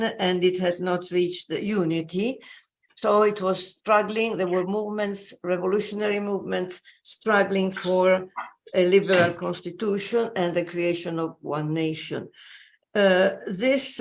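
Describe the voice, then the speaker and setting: Slow, halting woman lecturing. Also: lowish voice. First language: Italian. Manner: slow, halting, many pauses and fillers. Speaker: invited speaker. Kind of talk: academic lecture. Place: joining remotely.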